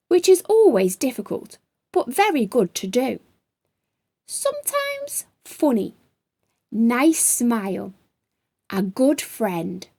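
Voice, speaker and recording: A woman's voice: fairly high pitch, a little mellow, no echo. A woman narrating a children's storybook expressively, as a storytime host.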